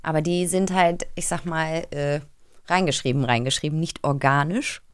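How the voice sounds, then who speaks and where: A woman's voice: deep voice, normal speed, clear, resonant tone, no echo, clean studio sound. A woman hosting a casual chat and quiz in a conversational way. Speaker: host.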